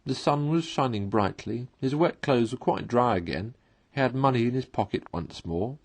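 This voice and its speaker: A man reading a classic story aloud as narrator, in an expressive storytelling style. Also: medium pitch, moderate pace.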